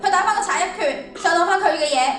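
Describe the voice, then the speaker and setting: High, echoey teenage girl as an idealistic angel. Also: fast talker, far from the mic. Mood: indignant. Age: teens. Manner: dramatic, earnest, declamatory. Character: frustrated, idealistic young angel, court clerk. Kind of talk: stage radio drama acting. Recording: echoey hall, off-mic.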